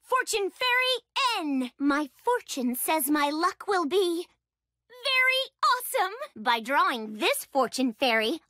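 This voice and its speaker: Bubbly young female anime duelist, perky and theatrical, very high and bright, for a card game. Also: no room sound, clean studio sound, heavily acted.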